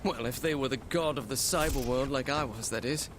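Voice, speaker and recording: A man's voice: medium pitch, brisk, lightly acted. An actor playing a boastful, nervy young male hacker in a video game audio log, chatty and indignant. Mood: cocky. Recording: loud game gunfire and helicopter noise.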